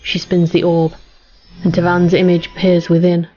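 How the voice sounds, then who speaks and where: A woman narrating a story in an even, steady way. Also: lowish voice, moderate pace, muffled recording.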